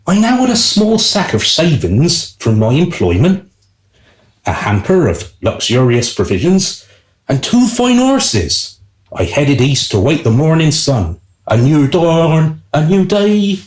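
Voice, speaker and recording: An actor playing a wry, self-serving servant who narrates a radio play in an arch, deliberate storytelling manner. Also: male voice, medium pitch, roomy sound. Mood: matter-of-fact, smug.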